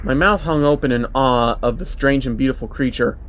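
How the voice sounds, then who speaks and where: A man's voice: mid-range voice, a little fast, a bit bright, a little hiss or hum. Man narrating a story, slightly dull audio. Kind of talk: scripted dramatic story narration. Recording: dull lo-fi audio under music.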